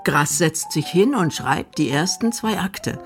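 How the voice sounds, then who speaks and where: Low-voiced woman narrating a radio documentary. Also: lively intonation, a little slow, soft background music.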